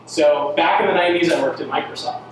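Man presenting at a meetup, relaxed and joking, heard from across a big reverberant room with background noise. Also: fairly high pitch, quick pace. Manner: casual, joking, loose with fillers. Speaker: meetup speaker. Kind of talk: live tech presentation. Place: large indoor event space with audience. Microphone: handheld mic. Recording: echoey room, mic from far off, noisy.

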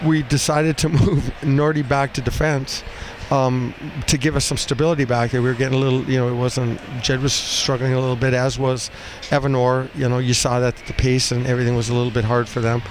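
A man in his fifties, a hockey coach speaking off the cuff in a postgame interview, blunt and halting, often repeating phrases. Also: medium pitch. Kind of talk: postgame interview, unscripted talk. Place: small cinder-block room indoors at a rink. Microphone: headset boom mic. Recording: noisy arena room, steady background din.

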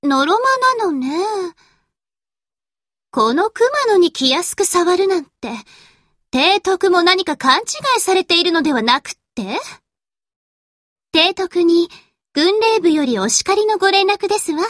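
A woman's voice: very high-pitched, animated, unhurried, long pauses, fairly bright tone, no room sound, studio quality, full character voice. A woman playing a haughty, refined young lady warship girl in an anime game, with prim, affected ladylike speech. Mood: haughty, teasing. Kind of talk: anime game character voice lines.

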